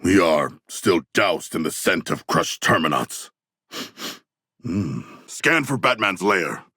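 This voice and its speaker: An actor playing a hulking, erudite and bloodthirsty humanoid shark warrior in a video game, with a gruff voice and stilted, formal speech. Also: male voice, sits low, lively intonation, takes it slowly, pushed and bright, dry recording, studio quality, heavily acted. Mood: solemn, formal. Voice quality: gravelly, gruff. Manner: stilted, pompous, archaic formal diction. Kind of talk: video game character voice lines.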